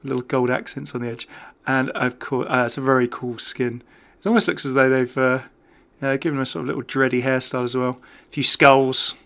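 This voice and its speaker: A man with a bright, forceful voice at a middle pitch gives casual video game commentary. He is chatty and jokey, rambling along in an unscripted way. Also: fairly even intonation, brisk, hardly any pauses. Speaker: gaming YouTuber.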